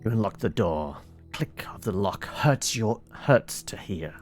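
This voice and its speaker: A man playing a text adventure game, reading it aloud dramatically with muttered commands and halting, casual asides. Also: on the low side, expressive, faint music behind.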